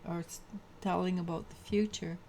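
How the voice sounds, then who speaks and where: A woman with a low voice giving a daily tarot reading, halting and full of restarts. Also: steady tone, creaky, darkish tone, a little hiss or hum. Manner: halting, many restarts and fillers. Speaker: tarot reader.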